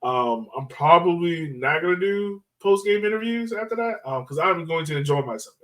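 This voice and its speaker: A man's voice: on the high side, lively intonation, normal speed, fairly bright tone. A man hosting a sports podcast in casual, chatty, slangy talk. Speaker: podcast host. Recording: voice slightly far from mic.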